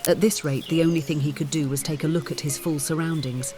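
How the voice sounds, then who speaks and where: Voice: medium pitch, fairly even intonation, fairly quick, over music. An audiobook narrator reading a scripted story in the third person.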